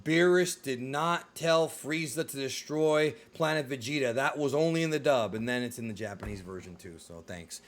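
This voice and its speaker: A man's voice: mid-range voice, moderate pace, hardly any pauses. A man in his thirties hosting a casual video podcast discussion, conversational and opinionated. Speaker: host of a film discussion show.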